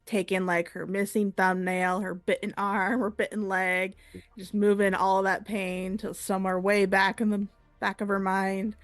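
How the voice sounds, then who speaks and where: A woman's voice: mid-range voice, little pitch movement, a little slow, no room sound, faint music behind. A woman playing in a tabletop game, rambling and giggling as she describes her character. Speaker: player. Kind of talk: casual tabletop roleplay.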